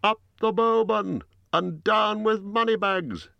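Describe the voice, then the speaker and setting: An excitable townsperson, put on by a male narrator, crying out well above a normal speaking pitch. The voice is aggrieved and clamorous, as one of a crowd in a fantasy audiobook. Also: slow, creaky, no room sound, highs cut off. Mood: clamorous, rebellious. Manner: clamorous, calling out.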